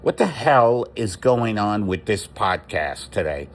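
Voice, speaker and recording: A man's voice: fairly low pitch, big pitch swings, unhurried, heavily acted. A man voicing a grumpy, blunt and dismissive husband.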